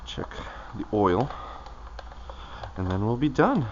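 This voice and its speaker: Lively casual man, muffled noisy recording. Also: medium pitch, slow. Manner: muttering to himself in short, loose phrases. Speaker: vlogger doing his own car maintenance. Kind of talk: casual talk-through of a hands-on task.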